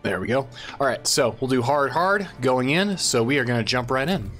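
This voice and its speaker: A man's voice: mid-range voice, lively intonation, normal speed, a bit bright, soft background music. A man hosting a gaming channel, giving casual commentary and explaining a strategy game step by step, unsure of how to say some names.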